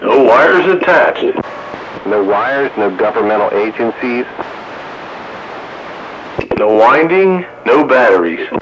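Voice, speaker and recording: A man's voice: medium pitch, sing-song, slow, pauses often, highs cut off. A man hosting a casual, jokey round-table chat over two-way radio. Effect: two-way radio transmission. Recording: CB radio with clear static. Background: radio static.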